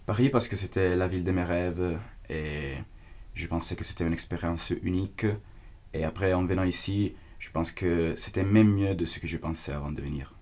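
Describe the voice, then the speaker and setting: A man's voice: sits low, normal speed, a little mellow. A young man with an Italian accent, a student giving an earnest testimonial in a language he is still learning. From Italy. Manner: earnest, searching for words in a second language. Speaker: exchange student. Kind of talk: testimonial interview.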